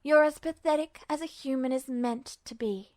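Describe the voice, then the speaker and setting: A woman voicing a cold, mocking godlike entity in an audio story, slow, drawling and condescending in a menacingly calm way. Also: high-pitched, no room sound, clean studio sound, lightly acted. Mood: scornful. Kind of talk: audio fiction reading.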